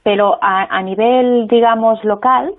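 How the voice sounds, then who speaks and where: A woman's voice: fairly high pitch, fairly even intonation, a little slow. A woman with a Spanish accent, a guest author in a phone interview, talking with many hesitations and restarts. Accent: Spanish (Spain). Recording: tinny phone line.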